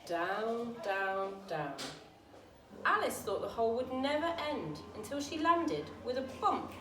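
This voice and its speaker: A woman narrates a storybook for young children with lively, swooping intonation. Her voice sits fairly high. She is recorded at a distance in a roomy space. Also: a little slow. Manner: animated, dramatic reading. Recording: roomy, distant mic with faint noise.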